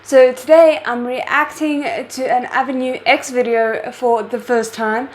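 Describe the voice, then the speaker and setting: Chatty excited woman reacting on camera. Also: sits high, unhurried, a little hiss or hum. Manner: excited, chatty, thinks aloud. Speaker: reaction video host. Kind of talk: casual chat.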